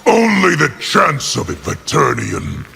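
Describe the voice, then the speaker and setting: A man voicing a hot-tempered, domineering warlord general who speaks forcefully and threateningly in a fighting video game. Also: on the high side, wildly swooping pitch, a little slow, creaky, big-room sound, heavy background noise, full character voice. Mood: angry, forceful. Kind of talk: video game character dialogue. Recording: loud music behind.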